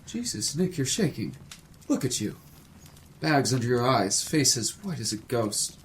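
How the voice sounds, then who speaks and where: A man acting a kindly, fretful college professor in an audio drama, expressive and fatherly. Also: mid-range voice, unhurried, a little hiss or hum, poor audio. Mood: worried, caring.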